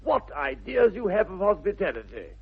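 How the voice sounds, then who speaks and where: An actor playing a flippant, idle young English gentleman with an upper-class accent and airy, mock-earnest wit, in 1960s radio comedy style. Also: high voice, huge pitch swings, moderate pace, gravelly, husky, exaggerated voice. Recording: muffled narrow-band vintage radio recording.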